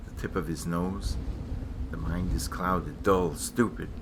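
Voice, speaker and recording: A man in a dramatic reading playing a hard, taunting polar explorer with grim philosophy, in a theatrical, cutting and deliberate delivery. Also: sits low, steady tone, background noise. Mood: somber, musing. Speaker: stage actor. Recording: loud music behind the voice. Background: music.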